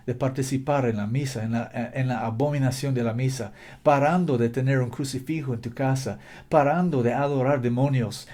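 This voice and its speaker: A man's voice: mid-range voice, mellow. A man preaching a fervent sermon in a language that is not his native one, exhorting listeners with repetition and occasional slips. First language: not Spanish.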